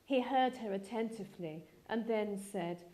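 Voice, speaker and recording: A woman giving a live dramatic reading of literary narration, measured and expressive. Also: mid-range voice, low-quality recording. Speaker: actress reading a novel aloud.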